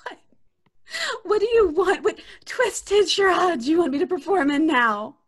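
A distraught sister in a horror drama. Her very high voice swings with emotion as she protests. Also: animated, unhurried. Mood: fearful exasperation. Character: distraught, exasperated younger sister. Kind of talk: horror audio drama acting.

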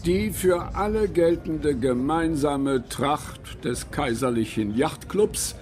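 An actor playing a formal, reverent courtier of the Kaiser, reciting a memoir in a historical radio play. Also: male voice, mid-range voice, a little mellow. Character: loyal courtier companion of the Kaiser recounting a voyage.

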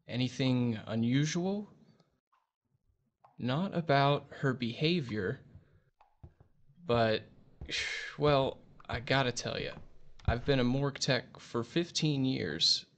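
A veteran morgue technician, played by a man in an audio drama, folksy and matter-of-fact. He talks very slowly in a medium pitch, halting between thoughts. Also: fairly bright tone.

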